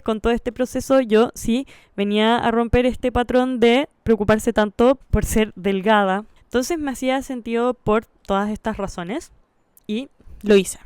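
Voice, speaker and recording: A woman's voice: fairly high pitch, darkish tone, clear, resonant tone, no room sound, clean studio sound. A woman with a Chilean Spanish accent telling her personal story in a reflective, candid way, like a vlogger.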